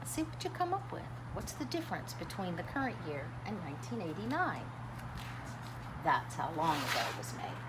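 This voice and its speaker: Expressive woman reading storytime, very lively pitch. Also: moderate pace. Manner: expressive, dramatic, chatty with young listeners. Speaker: teacher reading a children's picture book aloud. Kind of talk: animated storytime read-aloud with commentary and questions. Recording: clearly noisy background.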